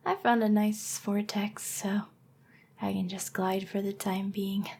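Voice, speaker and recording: A woman voicing a young, clingy, lovesick harpy girl in an anime ASMR roleplay, with a breathy, often whispery voice and a hesitant, earnest delivery. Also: medium pitch, flat delivery, unhurried, a little mellow. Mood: calm, wistful. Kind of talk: anime ASMR roleplay acting, intimate address to listener.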